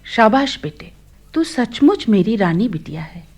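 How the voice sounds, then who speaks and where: An actress playing a caring middle-aged mother in a radio play, gentle, polite and solicitous. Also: medium pitch, big pitch swings, unhurried, husky.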